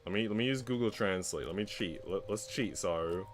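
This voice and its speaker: A man's voice: lowish voice, fairly quick, soft background music. A man livestreaming in casual, conversational chat with his viewers. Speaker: livestreamer reading visual novels.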